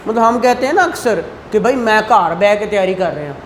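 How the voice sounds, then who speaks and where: A woman's voice: medium pitch, lively intonation, a little fast. A woman with a Pakistani accent giving an explanatory law lecture, often checking that students follow with short tag questions. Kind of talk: explanatory classroom lecture mixing Urdu and English legal terms. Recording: clearly noisy room recording.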